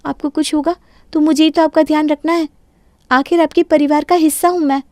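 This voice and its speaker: A woman's voice: high voice, fairly even intonation, fairly quick, dark tone, no room sound, studio quality, lightly acted. A woman voicing a sly, sweetly apologetic young daughter-in-law in a Hindi cartoon story. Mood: sweetly helpful. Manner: mock-innocent, pleading, sugary.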